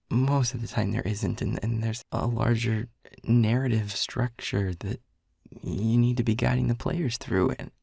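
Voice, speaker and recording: Male actor as nervous young air elemental for intimate audio roleplay: shy, earnest stammerer, husky with creaky edges, close dry sound. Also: mid-range voice, darkish tone, no echo. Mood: self-doubting, hesitant. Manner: stammering, flustered, breathy nervous laughs.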